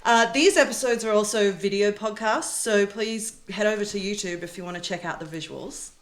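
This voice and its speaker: A woman's voice: mid-range voice, moderate pace. A woman hosting a podcast as its producer, talking conversationally. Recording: roomy, echoey indoor sound.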